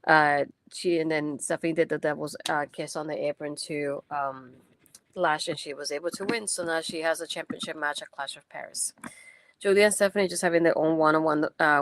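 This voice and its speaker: A woman's voice: low voice, a bit bright. A young woman, not a native English speaker, hosting a casual post-show recap in a chatty, enthusiastic way with many fillers. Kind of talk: casual wrestling event recap.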